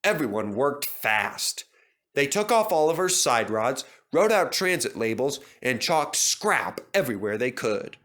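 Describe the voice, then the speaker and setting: A man tells a children's story in a dramatic narrating style. His voice is mid-pitched and bright, with very lively intonation. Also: a little slow.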